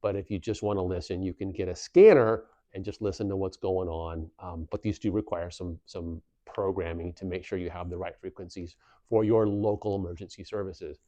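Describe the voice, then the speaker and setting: A man's voice: low-pitched, steady tone, moderate pace, mellow, dry recording. A man in his forties explaining things plainly and reassuringly, like an informal instructor on a how-to video, on a clip-on mic. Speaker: instructor presenting a community video series. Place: small plain office room, indoors. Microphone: lavalier clipped at the collar.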